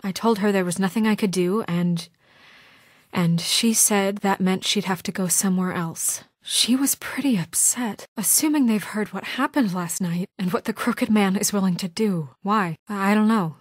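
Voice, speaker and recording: A woman's voice: medium pitch, no echo, lightly acted. A woman playing a stern, dry-sounding young leader. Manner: earnest, measured, formal and insistent. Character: stern, principled young woman leader, weary but composed. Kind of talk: dramatic video game voice acting.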